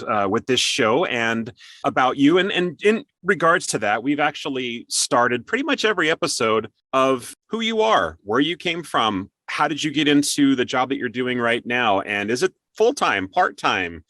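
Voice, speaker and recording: A man's voice: mid-range voice, animated, normal speed, pushed and bright, no echo. A man hosting an interview show, moving between scripted introduction and upbeat chat.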